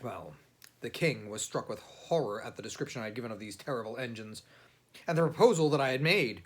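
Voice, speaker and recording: Male audiobook reader, literary narration, theatrical, emphatic, highly animated intonation, dramatic pauses. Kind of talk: expressive literary narration, reading a classic satirical novel.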